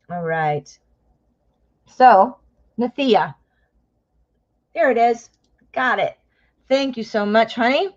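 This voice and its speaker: A woman's voice: on the high side, dull audio. An American woman hosting a live tarot reading stream, chatting with viewers, calling them honey and my love, and explaining the cards. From United States. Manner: chatty, warm endearments, reads viewer names aloud; exclaims and laughs at cards.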